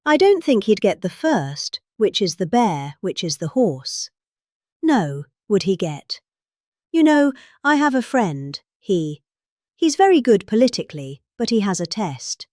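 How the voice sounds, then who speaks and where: A middle-aged woman with a British accent reads a rambling speech as if chatting. Her delivery is emphatic and theatrical, with pitch that rises and falls dramatically. The sound is dry, with hardly any room to it. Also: big pitch swings, a little slow, a little mellow, no echo. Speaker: reader.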